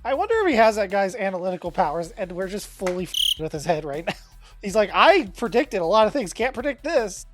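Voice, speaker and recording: A woman's voice: medium pitch, very animated, brisk, faint music behind. A woman chatting casually as a player in a tabletop role-playing game. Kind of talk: casual chat.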